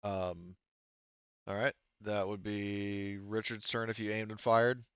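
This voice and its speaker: A man acting as game master of a tabletop roleplaying game, talking casually and haltingly as he thinks aloud. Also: fairly low pitch, flat delivery, fairly bright tone, clear, resonant tone, no echo, muffled recording.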